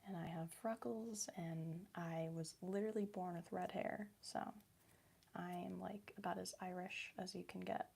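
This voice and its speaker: A young woman with a Midwestern accent chatting softly for an ASMR video, slipping between whispers and a quiet, giggly voice as she answers viewers. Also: lowish voice, fairly even intonation, normal speed, gravelly, a little hiss or hum. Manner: soft-spoken, drifting between whisper and quiet voice. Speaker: ASMR creator. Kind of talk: ASMR chat while crafting.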